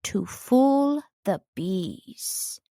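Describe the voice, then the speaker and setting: A woman voicing a gentle, simple-minded, honey-loving male toy bear in a children's storybook. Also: medium pitch, big pitch swings, extremely slow, creaky, dry recording, studio quality, full character voice. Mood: earnest.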